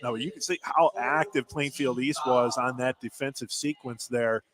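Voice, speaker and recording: An American man works as color commentator on a live basketball radio broadcast. His voice is of average pitch, and he adds short analysis between plays from courtside in a gym. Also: fairly even intonation, moderate pace, crowd noise. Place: indoor high school basketball gym.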